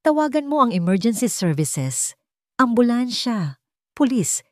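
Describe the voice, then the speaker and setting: A woman's voice: fairly high pitch, a little slow, no echo, clean studio sound, voice pushed up. Woman voicing a firm, commanding Filipino male boss. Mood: urgent, commanding. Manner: calm but authoritative, firm. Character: commanding, driven male boss on an urgent rescue. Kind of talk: audiobook character acting.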